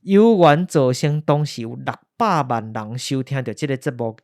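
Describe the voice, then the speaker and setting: A man's voice: medium pitch, normal speed, a little mellow, no echo, studio quality. A man with a Taiwanese accent hosting a podcast, telling history conversationally and explaining step by step with repeated key phrases. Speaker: podcast host. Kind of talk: conversational storytelling about history.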